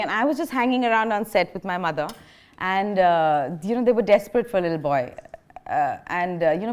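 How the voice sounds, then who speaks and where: A woman in her thirties from India, whose first language is Bengali, giving a public lecture in a chatty, self-deprecating way, with frequent laughs, fillers and restarts. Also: mid-range voice. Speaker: guest speaker. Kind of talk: public lecture, personal anecdotes. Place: lecture hall.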